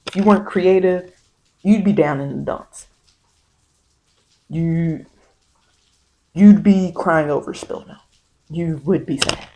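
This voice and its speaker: A woman's voice: fairly low pitch, slow, long pauses, mellow, slight background noise, low-quality recording. A woman giving an informal, encouraging tarot reading in ASMR style, speaking colloquially and repeating phrases for emphasis. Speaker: tarot card reader and host. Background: bird and rain sounds.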